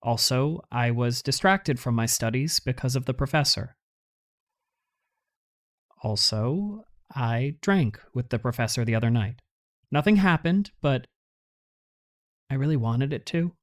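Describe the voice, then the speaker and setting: A man's voice: mid-range voice, lively intonation, a little slow, long pauses, lightly acted. A man acting an anxious, guilt-ridden young university student making confession in a hesitant way, for an audio drama. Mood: guilty, hesitant. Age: young adult.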